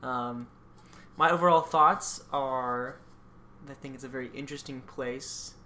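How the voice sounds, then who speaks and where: Young male podcast co-host, halting and casual, slight hiss in the background. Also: medium pitch, bright, forceful tone. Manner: hesitant, many ums and restarts. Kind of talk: casual chat.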